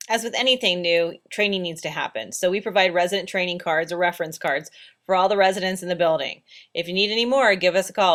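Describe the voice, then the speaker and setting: A woman's voice: fairly low pitch, fairly bright tone. A woman narrating instructional training slides in a plain, practical, step-by-step way. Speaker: training presenter.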